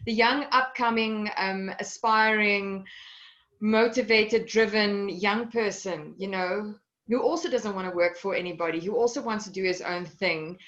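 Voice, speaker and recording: A woman's voice: medium pitch, measured pace, fairly bright tone, lo-fi sound. A woman hosting a live online interview, chatty and rambling with lots of "you know" and restarts. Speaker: interview host.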